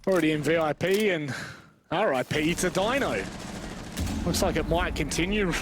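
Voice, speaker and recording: Expressive higher-pitched man commentating esports over game noise. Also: normal speed. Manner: expressive, exclamatory, joking asides. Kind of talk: live play-by-play game commentary.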